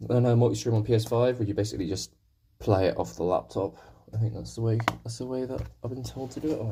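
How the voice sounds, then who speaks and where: A man's voice: medium pitch, warm tone, slight background noise. A young man with a sore throat, chatting casually to his stream viewers as he paints, rambling and poking fun at himself. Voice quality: gravelly, husky. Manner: rambling, self-deprecating, talking to viewers. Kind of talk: casual live-stream chat while painting.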